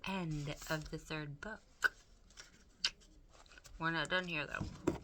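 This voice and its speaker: A woman's voice: sits low, steady tone, takes it slowly, very noisy. A woman reading a children's story aloud expressively, dramatically addressing the listener.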